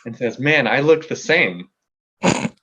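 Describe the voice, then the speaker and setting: A man's voice: mid-range voice, animated, measured pace, fairly bright tone, breathy edge, low-quality recording. A nineteen-year-old man with an American accent, telling a story casually as a podcast guest and playfully arguing his point. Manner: playfully argumentative. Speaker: podcast guest, music artist.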